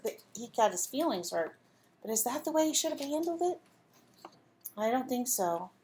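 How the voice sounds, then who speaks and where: A woman reading a storybook aloud as a teacher would, pausing to put simple questions and instructions to young listeners. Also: on the high side. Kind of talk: storybook read-aloud with asides to children.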